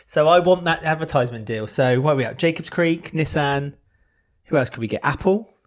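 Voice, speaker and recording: A man's voice: a little high, expressive, brisk. A young man co-hosting a casual podcast chat, playful and laughing often as he rambles through plugs and jokes. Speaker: podcast co-host.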